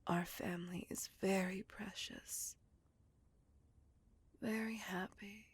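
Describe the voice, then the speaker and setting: Breathy, whispering seductive vampire woman, acted by a woman. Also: mid-range voice, steady tone, heavily acted. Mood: proud, possessive. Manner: slow, intimate, deliberate, savouring words. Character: seductive, possessive female vampire, vain and coldly vengeful. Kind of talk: whispered ASMR roleplay.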